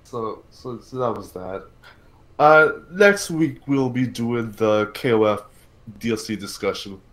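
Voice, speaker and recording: A man hosting a casual podcast livestream about films and games, talking haltingly and repeating words as he thinks aloud. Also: medium pitch, animated, fairly bright tone, poor audio.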